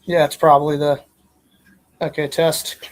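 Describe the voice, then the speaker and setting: A woman's voice: low-pitched, flat delivery, a little slow, slight background noise. A woman hosting a casual podcast, chatting informally and a little hesitantly, and reading fan fiction aloud.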